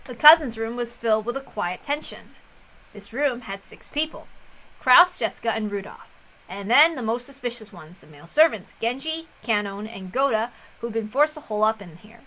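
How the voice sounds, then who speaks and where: A woman reading a story aloud as narrator, in an expressive storytelling style. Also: fairly high pitch, normal speed, low-quality recording. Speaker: reader of a visual novel.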